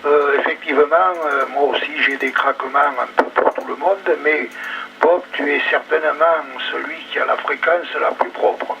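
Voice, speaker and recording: A man's voice: a little high, flat delivery, moderate pace. A polite, slightly hesitant man, talking casually as a radio amateur over two-way radio. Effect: two-way radio transmission. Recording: muffled, noisy ham radio relay.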